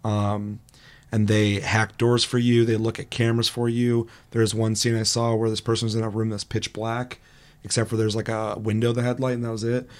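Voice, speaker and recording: A young man with a hoarse, raspy voice hosts a casual podcast, talking in a chatty and self-deprecating way. His voice sits a little low and stays fairly flat in pitch. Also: moderate pace. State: hoarse, recovering lost voice. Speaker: podcast host. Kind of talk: casual gaming podcast chat.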